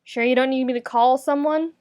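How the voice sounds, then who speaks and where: A woman reading as a brisk, judgmental but concerned young woman with a pointed, commanding manner, in a storybook narration. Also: high-pitched, fairly even intonation, darkish tone, lightly acted.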